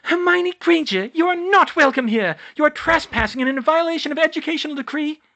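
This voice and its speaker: A man voicing a pompous, strict female school official in a story, shrill, officious and outraged. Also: a little fast, fairly bright tone, exaggerated voice. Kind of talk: audiobook character voice.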